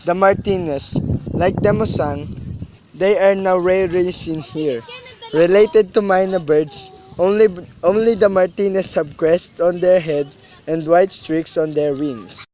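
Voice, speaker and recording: A man's voice: high-pitched, unhurried, a little mellow, muffled recording, a little hiss or hum. Man acting grave young cousin. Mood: explaining gravely. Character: Totoy, grave, superstitious young male cousin. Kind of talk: student radio drama acting.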